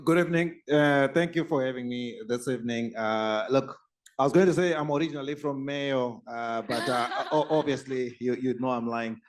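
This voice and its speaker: Older male panelist, dry humor, mid-pitched, halting delivery. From Zimbabwe. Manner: warm-humored, self-deprecating, with hesitant uhs.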